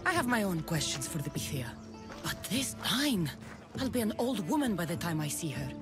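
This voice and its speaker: A defiant young woman mercenary in a game cutscene. She is blunt and assertive, her pitch moving freely, with music behind her. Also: sing-song, moderate pace. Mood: wry, blunt. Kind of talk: video game cutscene acting.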